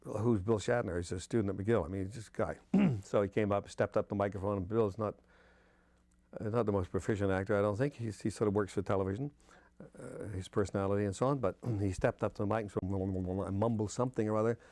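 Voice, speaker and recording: A man's voice: lowish voice, steady tone, fast, darkish tone, no room sound. An older man, a veteran radio actor, reminiscing casually in an interview in a blunt, colloquial way. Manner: blunt, colloquial, punctuated with "you know".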